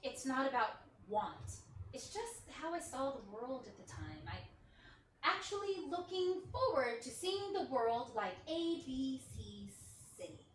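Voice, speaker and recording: A woman playing an awkward, earnest middle-aged indie filmmaker in a naturalistic play reading, halting and self-deprecating. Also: high voice, big pitch swings. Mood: guarded, earnest. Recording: roomy, distant mic with faint noise.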